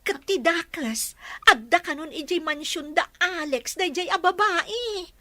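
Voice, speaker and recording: A woman playing an excitable young village gossip, chatty and theatrical, in a comedy radio drama. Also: extremely high pitch, a little slow, no room sound, heavily acted. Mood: gossipy, excited.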